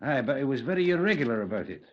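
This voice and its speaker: An actor playing a deferential police station sergeant and old guardsman, hesitant with drawn-out delivery, in a 1950s radio drama. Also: medium pitch, very animated. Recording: dull lo-fi 1950s radio recording.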